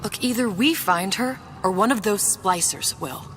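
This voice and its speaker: A woman's voice: medium pitch, normal speed, fairly bright tone, slight background noise, close to an everyday voice. An actress playing a cool, determined, mysterious young woman in a noir video game. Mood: urgent, resolute.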